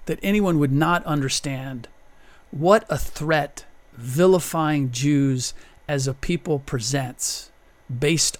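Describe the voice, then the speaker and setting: Slow, emphatic male commentator. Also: on the high side, no room sound. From United States. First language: German. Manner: deliberate, emphatic, builds to stressed points. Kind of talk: opinion monologue.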